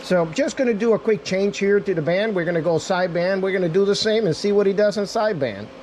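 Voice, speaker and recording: A man's voice: high voice, fast, darkish tone, slight background noise. A man giving a casual, unscripted product demo in an informal way, talking straight to viewers as their reviewer. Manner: informal, addresses viewers as guys. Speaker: radio reviewer and demonstrator.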